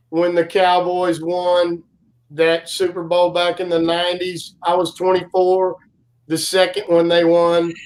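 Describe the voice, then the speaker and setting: Man, 40s, guest co-host; loud carrying voice, pitched high, slow unhurried rambling delivery. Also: poor audio. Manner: rambling storyteller, many fillers and restarts. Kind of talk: casual live-stream chat.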